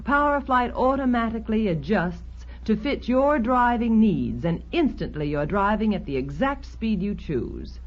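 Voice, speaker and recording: Lively American woman announcer, vintage radio ad. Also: mid-range voice, unhurried. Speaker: commercial announcer. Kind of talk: scripted 1950s radio commercial. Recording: dull, band-limited 1950s radio recording.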